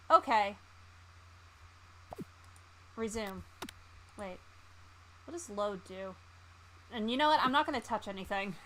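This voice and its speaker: A woman streamer chatting casually and reading game text aloud, hesitant and thinking out loud. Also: on the high side. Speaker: streamer playing a visual novel for viewers.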